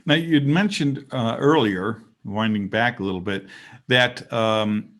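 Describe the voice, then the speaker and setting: A man's voice: medium pitch, measured pace, fairly bright tone. A man hosting an interview, asking questions in a conversational way. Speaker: host. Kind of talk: casual interview.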